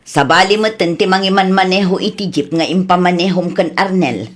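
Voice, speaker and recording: A woman's voice: lowish voice, a little fast, close to an everyday voice. Principled, scolding Ilocano wife played by a woman. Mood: reproachful. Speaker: actress in a radio drama. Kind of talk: Ilocano comedy radio drama acting.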